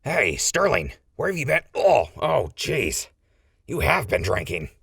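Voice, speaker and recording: A man playing a wry, scheming ghoul who leads a crew, smooth-talking and gravelly, in a post-apocalyptic audio drama. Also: medium pitch, sing-song, measured pace, a bit bright, exaggerated voice. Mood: exasperated.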